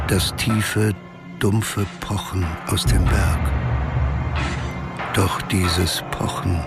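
Brooding narrator, deep-set creaky voice, ominous, literary radio drama, loud music. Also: male voice. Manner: solemn, ominous. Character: brooding, solemn storyteller of a gloomy town.

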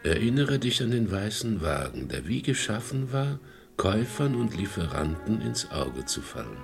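Deep, creaky, probing inner voice. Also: male voice, very animated, moderate pace, faint music behind. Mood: insinuating. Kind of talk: German radio crime drama acting.

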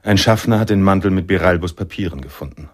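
A man's voice: low voice, fast, dry recording, clean studio sound. An actor playing a reflective, world-weary male narrator in a noir radio drama, telling the story in literary prose. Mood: grave, suspenseful.

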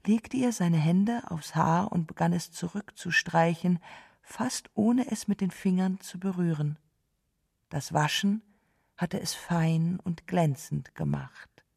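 A woman reading scripted literary narration in a dark voice with a gravelly edge. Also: fairly low pitch.

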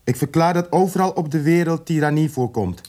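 An actor playing an educated, indignant South African radical in a radio drama, articulate and emphatic, repeating words for weight. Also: male voice, fairly high pitch, a little fast, a little mellow, lightly acted. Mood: solemn, impassioned.

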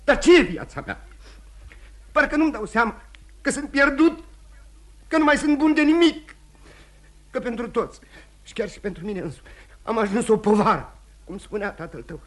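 An actor in a radio play voicing a despairing, self-loathing man who is tender to his lover and speaks haltingly, repeating his words. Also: extremely high pitch, animated, a bit bright, heavily acted. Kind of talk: old radio theatre acting.

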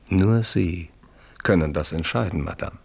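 An actor in a German radio play as a suave, self-satisfied French marquis, courtly and flowery in his flattery. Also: deep voice, takes it slowly, creaky, breathy edge, exaggerated voice. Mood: suave, pleased. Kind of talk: German radio play acting, period drama.

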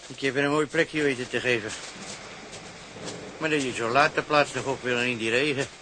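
An actor playing a fussy, money-minded village gravedigger who sells headstones with chatty, hesitant, wheedling patter in a period drama. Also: male voice, mid-range voice, a little fast, noisy background, lightly acted. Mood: chatty, showing around.

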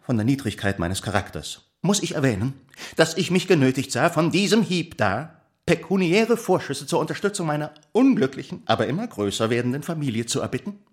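Pompous, swooping, grandiloquent gentleman accuser. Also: a little high, big pitch swings, fairly quick. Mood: pompous indignation. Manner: florid, declamatory, pompous. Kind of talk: theatrical radio play declamation.

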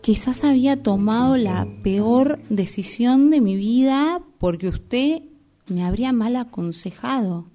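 Radio drama role: earnest Argentine female therapy patient played by a woman, unhurried and mellow, apologetic, formal with the doctor. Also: on the high side, animated, faint music behind. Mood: anxious doubt. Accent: Argentine Rioplatense. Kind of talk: amateur radio theatre acting.

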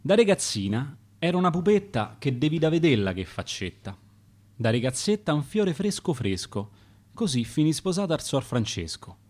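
A man with a Roman accent voicing a sharp-tongued woman who grumbles about her husband, in dialect verse for a radio play. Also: on the high side, very animated, moderate pace. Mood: grumbling.

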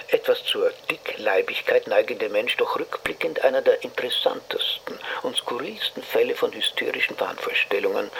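Radio drama role: smug older male analyst, wordy and formal, swooping intonation with a gravelly edge. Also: medium pitch, a bit bright, slight background noise, rough recording. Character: pompous older male psychoanalyst, smug and unflappable. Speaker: narrator and psychotherapist. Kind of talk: German radio drama acting, literary narration.

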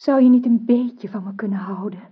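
An actress playing a lovesick, yearning young noblewoman with a breathy, hushed voice in a radio drama. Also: on the high side, fairly quick. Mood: tender, yearning. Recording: dull lo-fi old radio recording.

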